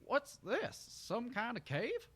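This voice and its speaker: Man putting on a high-pitched, folksy, bewildered pony. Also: huge pitch swings, slow, fairly bright tone, slightly rough, full character voice. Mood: friendly, confused. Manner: folksy country talk, stammering. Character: confused, innocent, kindly young male cartoon pony, folksy.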